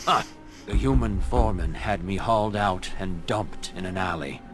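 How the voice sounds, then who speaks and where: Man acting crippled elf beggar, low voice, plaintive and bitter, fantasy game, music behind. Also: normal speed, lightly acted. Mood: bitter, weary. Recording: music under the voice.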